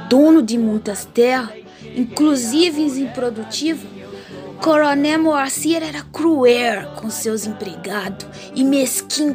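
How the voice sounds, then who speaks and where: A woman playing a folksy, wry narrator of a comic radio soap, theatrical, with a rural Brazilian accent. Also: on the high side.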